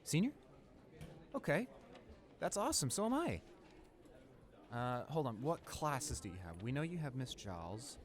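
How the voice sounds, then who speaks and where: A young man playing a friendly, easily flustered high school senior in a roleplay audio drama, with an awkward, rambling, hesitant way of talking. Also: big pitch swings, unhurried, long pauses. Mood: eager, friendly. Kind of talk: roleplay audio drama, casual conversational acting.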